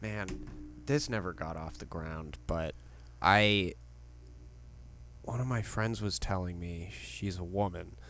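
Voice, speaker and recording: A man's voice: low-pitched, slow pace, long pauses, a bit bright. A man who is a comedian guest on a podcast, telling stories in a wry, chatty way. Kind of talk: casual comedy podcast chat.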